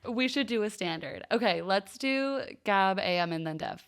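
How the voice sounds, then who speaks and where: A woman's voice: mid-range voice, lively intonation, normal speed, a little hiss or hum. A woman co-hosting a podcast in casual chat, telling anecdotes in an informal way with lots of filler "like". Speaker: podcast co-host.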